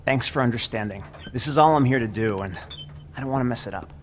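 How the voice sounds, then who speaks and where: A man's voice: medium pitch, quick pace, background noise, close to an everyday voice. An actor playing a meek, dutiful doorman in a video game, polite, hesitant and pleading. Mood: polite, nervous. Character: meek, earnest, dutiful little male doorman.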